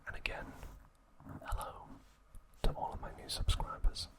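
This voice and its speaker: A man hosting ASMR, speaking softly and haltingly in an unhurried whisper, close to the microphone. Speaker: ASMR channel host. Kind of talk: whispered ASMR, reading episode summaries and chatting to viewers.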